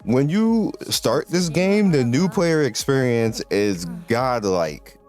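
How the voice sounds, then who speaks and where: A young man giving casual gameplay commentary, rambling and excitable, often restarting his sentences. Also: medium pitch, slow pace, faint music behind. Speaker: gaming video creator.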